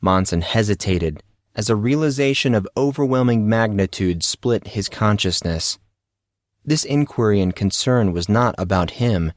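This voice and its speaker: A man reading a scripted dramatic story as an audiobook narrator, in a measured, solemn way. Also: on the low side, no room sound, clean studio sound.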